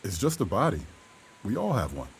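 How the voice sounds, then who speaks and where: An actor playing a gentle, forthright castaway man in love, with a measured and sincere delivery, in a period audio drama. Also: on the low side, huge pitch swings, gravelly, slight background noise, heavily acted, poor audio. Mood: tender, earnest.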